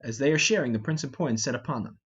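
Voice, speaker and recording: A man's voice: mid-range voice, fast talker, fairly bright tone, husky, highs cut off. A man reading names and stage directions from a play script as a solo, unrehearsed reader.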